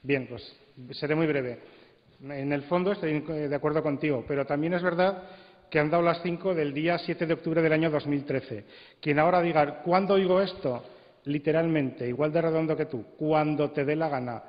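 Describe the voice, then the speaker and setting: A man speaking on a congress panel, explaining and arguing his points in a measured way with stress on key words. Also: fairly high pitch. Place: large indoor congress hall. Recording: dull, echoey hall recording.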